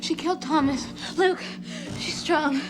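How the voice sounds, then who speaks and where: An actress playing a frightened vampire underling in a television drama, anxious and pleading. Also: female voice, high-pitched, measured pace. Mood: anxious, defensive. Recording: television soundtrack with music underneath.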